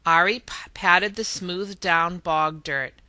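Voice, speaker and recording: Woman storybook reader, expressive narration, slow pace, dry recording. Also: on the low side, no echo.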